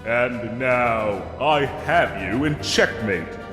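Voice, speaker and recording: A man's voice: medium pitch, expressive, drawn-out words. Man acting pompous fantasy knight, theatrical, cavernous reverb. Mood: haughty, commanding. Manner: formal, archaic, grandly theatrical diction. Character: pompous, gallant male knight, condescending yet secretly soft-hearted. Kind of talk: scripted fantasy audio roleplay. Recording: echoey cave-like reverb, music underneath.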